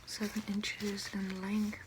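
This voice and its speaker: A young woman whispering slowly and deliberately in an ASMR roleplay as a doctor, breathy and close to the mic. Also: medium pitch, completely flat delivery, slight background noise. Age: young adult. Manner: slow, deliberate, repetitive counting and instructions. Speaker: ASMR performer role-playing a doctor giving a check-up. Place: small room at home, indoors. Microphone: close to the camera or mic, seated.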